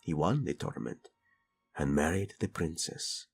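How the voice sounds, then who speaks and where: A man playing a doting, teasing tyrant prince in a romantic audio roleplay, flirtatious and tender with a husky delivery. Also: a bass voice, animated, normal speed, faint music behind, heavily acted. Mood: tender storytelling.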